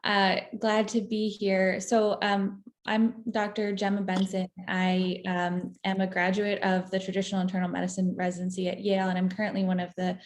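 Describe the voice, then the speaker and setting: A woman's voice: mid-range voice, monotone, moderate pace, few pauses. A young woman, a chief resident, introducing herself politely in an interview.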